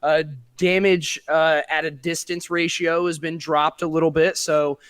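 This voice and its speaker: A man's voice: a little high, flat delivery, measured pace, fairly bright tone, clean tone, dry recording. A man casting an esports match in a casual way, with many fillers as he works through his analysis. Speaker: esports caster.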